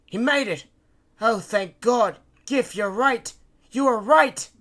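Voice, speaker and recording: A man acts as a loyal, urgent crewman in a sea rescue story. He calls out loudly, high above a normal talking pitch, with a bright, forceful sound. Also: takes it slowly, full character voice. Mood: excited relief. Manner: calling out loudly.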